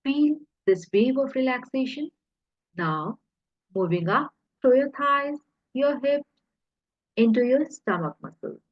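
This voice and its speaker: A husky, breathy woman speaks very slowly as she guides a hypnosis session. Her delivery is soothing and deliberate, broken into short phrases with pauses. The sound is echoey and slightly muffled. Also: high voice, lively intonation. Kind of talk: guided hypnosis relaxation narration.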